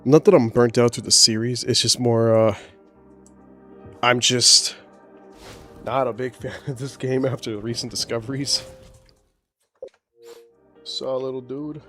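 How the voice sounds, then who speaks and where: A man with a boomy voice chats casually while streaming a game from home. He rambles in a laid-back way and stops now and then to talk to his pet. Faint music plays behind him. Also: fairly even intonation, unhurried, pauses often, a bit bright. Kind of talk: casual livestream chat. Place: home, indoors. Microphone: desk microphone.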